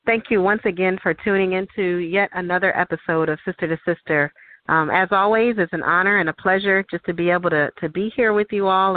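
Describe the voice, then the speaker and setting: A woman co-hosting a religious show, giving a reverent, grateful devotional testimony. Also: on the low side. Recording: hissy, tinny phone line.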